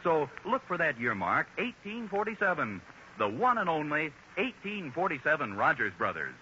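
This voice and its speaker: Animated American radio announcer, swooping pitch. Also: male voice, moderate pace. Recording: muffled, noisy 1940s radio recording.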